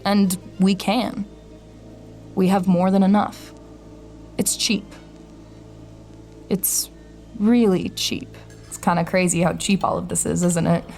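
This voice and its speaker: A woman's voice: medium pitch, steady tone, slow, pauses often, darkish tone, soft background music. A woman playing an anxious, suspicious traveller in an audio drama, hesitant and introspective as she acts and narrates. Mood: uneasy wonder. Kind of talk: audio drama acting with first-person narration.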